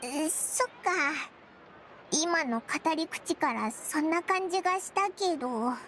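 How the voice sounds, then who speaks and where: A woman voicing a small, childlike fairy companion in an anime game, curious and blunt with boyish, expressive speech. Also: very high voice, a little slow, a little hiss or hum, exaggerated voice. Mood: curious, thoughtful. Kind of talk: Japanese anime game dubbing. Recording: music behind the voice.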